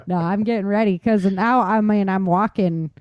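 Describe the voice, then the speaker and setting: A woman's voice: medium pitch, steady tone, moderate pace, smooth, no echo, highs cut off. A woman hosting a talk show, chatting informally and emphatically about practical tips and laughing easily. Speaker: podcast host. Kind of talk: casual survival-tips discussion.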